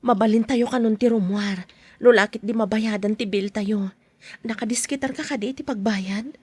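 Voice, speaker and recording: A woman's voice: medium pitch, normal speed, a little mellow, husky, dry recording, natural voice. A woman playing a caring, money-worried wife and mother in a podcast drama. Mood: practical. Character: caring wife and mother, anxious about money, soft-spoken.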